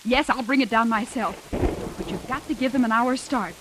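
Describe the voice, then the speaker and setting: An actress playing a guarded, brave female hostage in 1940s radio noir style, speaking earnestly with hesitant pauses. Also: a little high, close to an everyday voice. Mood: anxious, pleading. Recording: hissy 1940s radio recording, rain behind.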